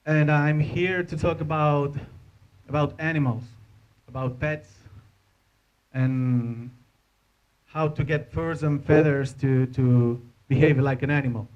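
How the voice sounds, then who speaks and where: A man's voice: fairly high pitch, fairly even intonation. Dull-sounding, hesitant Spanish-accented man presenting. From Spain. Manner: hesitant, halting non-native English delivery. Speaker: animator giving a conference talk. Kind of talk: informal presentation to an audience. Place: indoor hotel conference room. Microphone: handheld microphone.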